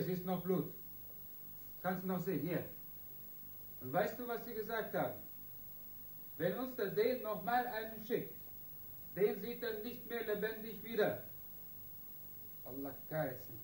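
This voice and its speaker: A man's voice: high voice, expressive, a little slow, pauses often, a little mellow. An actor playing an aggrieved, defiant immigrant henchman in a radio crime drama, indignant and slangy. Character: aggrieved immigrant male henchman, Turkish gangster. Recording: roomy, distant, noisy radio drama.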